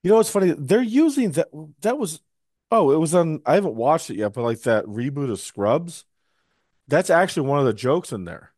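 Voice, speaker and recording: A man co-hosting a podcast in casual chat, his voice hoarse and scratchy, talking in a wry, grumbling way. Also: fairly high pitch, big pitch swings, moderate pace, a little mellow, no room sound, studio quality. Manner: wry, grumbling, mimics others in quotes. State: hoarse, recovering from lost voice. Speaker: podcast co-host.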